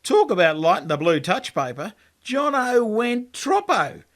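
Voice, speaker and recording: A man's voice: high-pitched, big pitch swings, a little slow, a bit bright. An Australian man reading his own story as a colloquial, laconic first-person yarn. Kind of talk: storytelling monologue, first-person character narration.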